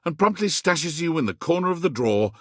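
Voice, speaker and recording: A man narrating a quirky dating game in a measured, expressive storybook style. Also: on the high side, dry recording, clean studio sound. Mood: storytelling.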